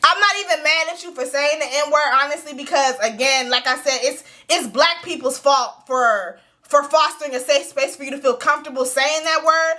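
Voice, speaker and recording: A woman hosting her own show, giving blunt, animated, profanity-laced commentary on a reality series. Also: sits high, normal speed.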